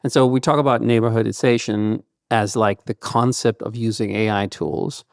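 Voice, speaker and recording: A man whose first language is Danish talks through his ideas as a podcast guest, filling his sentences with loose "kind of" and "like". His voice sits fairly low and has a gravelly, creaky texture. The recording is close and dry, with no room sound. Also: moderate pace, dry recording. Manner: loose and thoughtful, with many fillers like "kind of" and "like". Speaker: guest entrepreneur. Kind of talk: conversational podcast interview.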